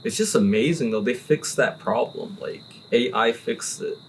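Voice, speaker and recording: A man hosting a casual cigar podcast, telling a story in an animated way and peppering his talk with "like" and "right?". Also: medium pitch, moderate pace, slight background noise. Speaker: podcast host. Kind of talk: casual chat. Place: relaxed cigar-smoking hangout with friends.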